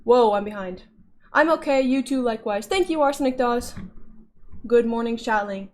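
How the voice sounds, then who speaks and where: A woman's voice: a little high, normal speed, darkish tone. A woman streamer chatting casually with her viewers, playful and often laughing as she reads chat messages aloud.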